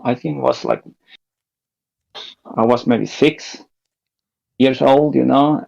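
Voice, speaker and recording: A gravelly-voiced man from Peru in his thirties, talking as a podcast guest. He rambles casually, swears freely and laughs easily. His pitch sits in the middle range. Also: a little mellow, highs cut off. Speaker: guest band member.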